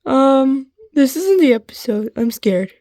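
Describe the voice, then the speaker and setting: A woman playing an excitable young TV viewer who grows frightened in an amateur roleplay, exclaiming and gasping to herself. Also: high-pitched, a little slow, no room sound, clean studio sound. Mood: confused, worried. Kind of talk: amateur reaction roleplay acting.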